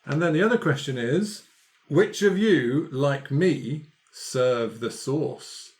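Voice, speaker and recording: A man's voice: mid-range voice, slow, a little mellow. A man talking casually as a player in a tabletop role-playing game.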